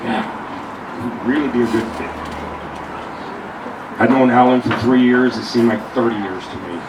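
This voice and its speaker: A middle-aged man with a loud voice giving an unscripted, rambling memorial tribute, blunt and swearing freely. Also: mid-range voice, steady tone, moderate pace. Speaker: eulogy speaker. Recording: echoey room, mic far off, loud noise.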